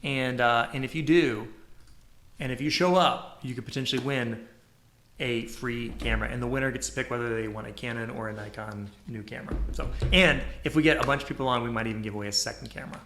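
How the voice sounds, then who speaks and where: A man's voice: medium pitch, fast talker, fairly bright tone, a little hiss or hum. Upbeat man with a drawl. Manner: upbeat, promotional, says y'all. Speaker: podcast co-host. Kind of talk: casual live-stream chat.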